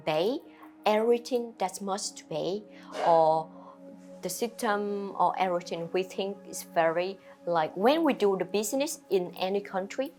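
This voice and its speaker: Vietnamese-accented woman, halting English. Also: medium pitch. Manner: halting, simple non-native phrasing, many fillers. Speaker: restaurant owner. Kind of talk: interview answers to camera.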